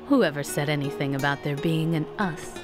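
Game princess, female actor: poised fighter, cool and formal, clear score underneath. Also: deep voice, moderate pace, music in the background, lightly acted. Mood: cool, composed. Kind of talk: video game cutscene acting.

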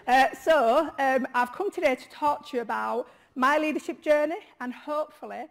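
A woman's voice: high voice, normal speed, creaky. A middle-aged woman from Leeds with a Leeds accent, a charity chief executive speaking frankly and with self-deprecating humor at a lectern in a large conference hall. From Leeds, England. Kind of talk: conference keynote talk.

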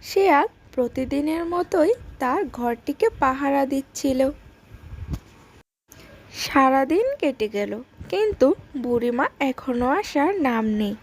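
A woman narrating a scripted fairy tale for children as the storyteller. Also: high voice.

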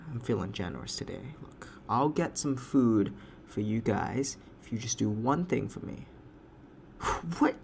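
A man's voice: fairly low pitch, animated, unhurried, creaky, slight background noise. A man playing a smug, cruel landlord in a satirical roleplay monologue, taunting and condescending, with mocking interjections. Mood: bargaining, scornful. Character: smug, cruel landlord sneering at a struggling tenant.

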